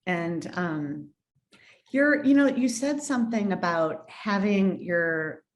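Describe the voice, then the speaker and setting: A woman hosts a casual interview and asks follow-up questions slowly, often pausing to hunt for words. Her voice sits a bit low. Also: expressive. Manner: unhurried, hesitant follow-up questions. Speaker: interview host.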